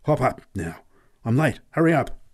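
A man voicing a gruff, irritable dairy farmer in storybook dialogue, snapping and blustering urgently. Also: medium pitch, animated, normal speed, pitched above the performer's own voice, close to an everyday voice. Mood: urgent, frustrated.

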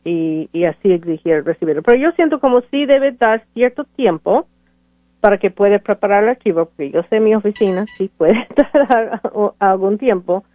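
A woman's voice: mid-range voice, lively intonation, moderate pace, no echo. Woman, immigration lawyer, hesitant with fillers, phone-like, dry. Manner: hesitant, many fillers and restarts. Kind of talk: radio call-in advice. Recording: tinny phone-like radio audio, dry.

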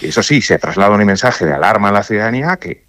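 Deep-voiced man, halting and thoughtful. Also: expressive, a bit bright, no echo. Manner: thoughtful, halting, many restarts and fillers. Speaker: judge interviewed on radio. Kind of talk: radio interview answers.

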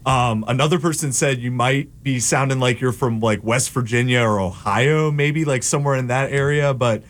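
A man co-hosting a radio show, summing up listener texts in an even, matter-of-fact way. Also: medium pitch, moderate pace. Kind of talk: reading listener texts on air.

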